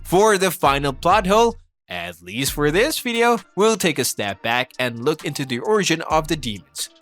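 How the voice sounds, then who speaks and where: A man delivers scripted commentary in a fairly high, forceful voice. His intonation swings widely as he leans into rhetorical questions with playful disbelief. It sounds like narration for an online video essay. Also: expressive, normal speed, no room sound. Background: background music.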